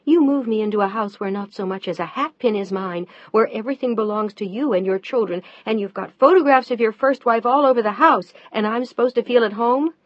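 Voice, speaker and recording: A woman voicing a fierce, upset wife, loud, sharp and near tears. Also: a little high, a little fast, few pauses, no room sound, muffled recording, lightly acted. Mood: angry.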